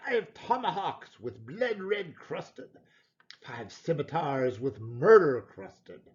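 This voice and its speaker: A man with a fairly high voice reads verse aloud in a theatrical, emphatic manner. His pitch swings widely from line to line, and he leaves deliberate pauses. The recording is a little dull and lo-fi. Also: sing-song. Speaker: poetry reader. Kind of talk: theatrical poetry recitation.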